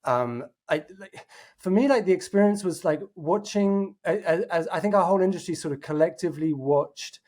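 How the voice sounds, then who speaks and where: A man with a fairly high-pitched voice speaks as a guest on a podcast. He talks earnestly and thoughtfully, working through his ideas with plenty of fillers and restarts. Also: normal speed, a little mellow. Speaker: guest, innovation executive. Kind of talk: casual interview chat.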